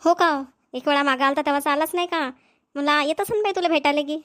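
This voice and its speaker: A woman's voice: sits high, fast, fairly bright tone. A woman playing a hospitable, talkative older village mother-in-law in a cartoon, chatty, with a Vidarbha Marathi accent. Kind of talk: amateur cartoon voice acting.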